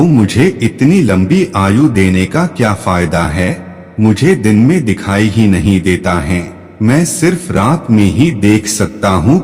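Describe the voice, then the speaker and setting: A man narrating a devotional fable in a measured, solemn way. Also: fairly low pitch, darkish tone. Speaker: storyteller. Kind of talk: slow devotional story narration. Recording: long hall reverb.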